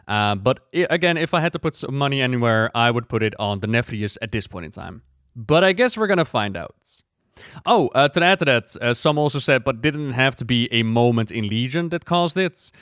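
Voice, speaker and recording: A man giving casual, excited and conversational reaction commentary as a gaming lore creator. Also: medium pitch, fairly quick, clean tone, no room sound, studio quality.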